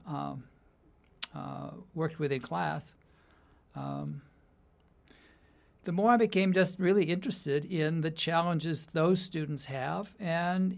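A man's voice: a little high, creaky. A man from the United States, a university writing instructor, giving thoughtful interview answers that often restart mid-sentence and break into light laughs.

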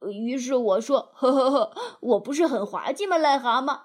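Woman voicing an excitable, high-pitched boastful mole. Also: moderate pace. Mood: proud, excited. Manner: animated, self-satisfied. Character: boastful, gleeful, excitable male mole in storybook.

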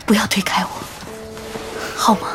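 A woman's voice: normal speed, in a whisper, natural voice. Actress as devoted, self-assured lady judge, music behind. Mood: tender, hurt. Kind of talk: modern Chinese audio drama acting.

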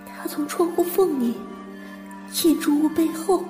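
A high, mellow voice in long reverb over music: an anxious young woman, haunted by childhood trauma. She narrates a radio drama in the first person. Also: a little slow. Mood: brooding, uneasy. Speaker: voice actor and narrator. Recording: reverberant echo with music underneath.

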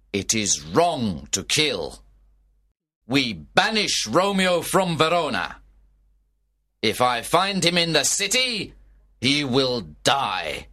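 A man's voice: medium pitch, sharp tone. A man voicing a stern ruling prince, grave and authoritative, in an audiobook tragedy. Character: stern, angry ruling prince passing judgement. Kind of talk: dramatised audiobook of a classic tragedy.

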